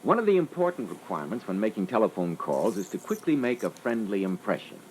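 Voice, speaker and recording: A man's voice: fairly low pitch, sing-song, fast talker, a little mellow. A man giving scripted instructional critique as a panelist on a sales training record. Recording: faintly noisy old reel-to-reel training record.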